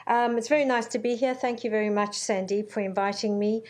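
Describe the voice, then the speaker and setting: A middle-aged woman born in Zambia giving a formal conference welcome speech, measured and earnest, with frequent ums. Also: fairly high pitch, level tone, a little mellow. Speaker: university vice-chancellor. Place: conference hall.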